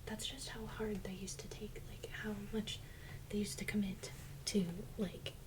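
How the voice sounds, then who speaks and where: A young adult woman speaking in a soft, breathy, often near-whispered voice, chatting casually and slangily as an ASMR host. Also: mid-range voice, little pitch movement, a little slow, rough recording. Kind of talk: soft-spoken ASMR doll unboxing, casual chat. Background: loud noise, clicks and crinkles of unboxing.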